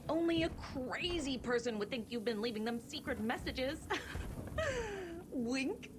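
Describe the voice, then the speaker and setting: High-voiced quirky superfan. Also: female voice. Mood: playful, knowing. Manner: theatrical, knowing, playful. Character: eccentric, devoted female superfan, quirky and conspiratorial. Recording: noticeable background noise, game ambience.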